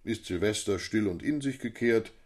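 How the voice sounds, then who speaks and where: A man playing an opinionated, witty Romantic man of letters in an audio play. His low voice has a husky grain. He speaks in long, formal literary sentences. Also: moderate pace, darkish tone, lightly acted. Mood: opinionated, keen. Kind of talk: scripted literary dialogue.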